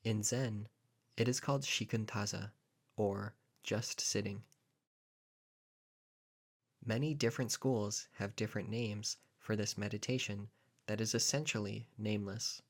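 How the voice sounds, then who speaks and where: A man with a fairly low voice leads a guided meditation. He speaks as a teacher, setting out each phrase deliberately and leaving pauses between them. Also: steady tone.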